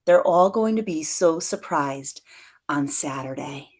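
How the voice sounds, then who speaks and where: A woman reading a children's picture book aloud in an expressive storytelling manner, like a teacher to pupils. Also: sits low, a little slow. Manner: expressive storytelling, acts out dialogue lightly.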